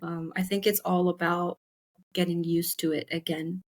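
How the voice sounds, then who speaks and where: A young woman from Italy, a podcast guest, reflecting thoughtfully with pauses and fillers. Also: fairly low pitch, level tone. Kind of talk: casual interview.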